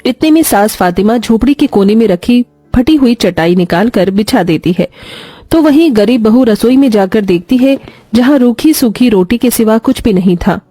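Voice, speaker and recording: A woman tells a scripted story in a high, mellow voice. The narration is dramatic, recorded close and dry with faint music behind it. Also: lively intonation, moderate pace, no echo.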